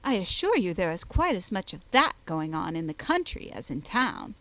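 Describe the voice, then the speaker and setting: A woman's voice: lowish voice, big pitch swings, moderate pace, a bit bright, low-quality recording. Woman acting fussy, gushing middle-aged mother. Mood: indignant, triumphant. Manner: effusive, emphatic.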